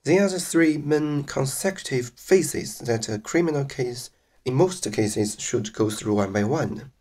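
A man from mainland China, a native Chinese speaker with a Chinese accent, narrating slides on legal procedure in a careful, measured way with some restarts. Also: mid-range voice, lively intonation, a little mellow.

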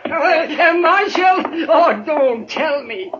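An actor playing a fussy, ghoulishly jovial frontier doctor, in 1950s radio drama style. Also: male voice, very high-pitched, lively intonation, takes it slowly, full character voice. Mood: morbidly amused. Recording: dull, faintly noisy 1950s radio recording.

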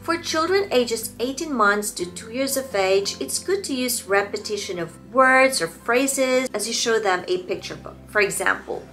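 A woman's voice: a little high, expressive, normal speed, hardly any pauses, sharp tone. Woman educator presenting over background music. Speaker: early childhood educator giving parenting tips. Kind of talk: scripted instructional presentation to camera.

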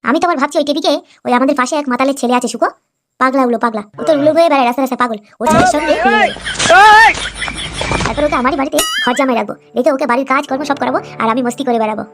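Woman playing a fast-talking, spiteful stepmother. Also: sits high. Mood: spiteful, aggrieved. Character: spiteful, complaining young stepmother, greedy and scheming.

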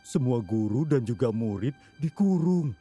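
A man's voice: medium pitch, lively intonation, a little slow, mellow, breathy edge, faint music behind. A man voicing a nervous townsman in a children's cartoon, giving warnings with anxious urgency. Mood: anxious, urgent. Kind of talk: children's animated cartoon dub.

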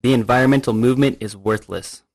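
A scornful militant rebel in a radio drama, acted by a man. The voice is medium in pitch, tough, blunt and cynical. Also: quick pace, darkish tone, natural voice.

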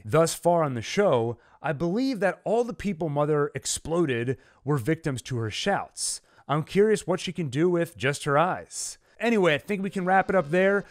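A man hosting a video, delivering scripted recap narration and commentary in a measured, emphatic way. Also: fairly high pitch, dry recording, clean studio sound. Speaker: channel host, narrator.

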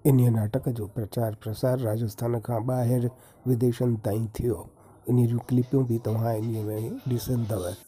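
Narrator, male, very dark and mellow tone, steady formal read. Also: mid-range voice, normal speed, breathy edge. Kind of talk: scripted narration.

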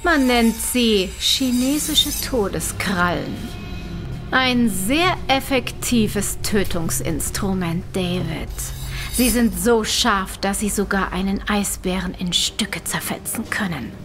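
An actress playing a cold-blooded scientist who is a hired killer, gloating softly and theatrically in an audio drama. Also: female voice, medium pitch, music in the background. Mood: menacing calm. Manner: slow, smug, theatrical gloating with soft menacing phrasing.

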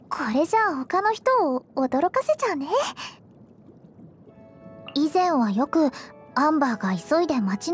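A woman's voice: very high voice, exaggerated voice. A woman voicing a sweet, cheerful teenage girl idol and healer in an anime game, with an earnest, polite, encouraging manner. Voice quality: girlish, sweet. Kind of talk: anime game character voice lines. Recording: studio voice over game music.

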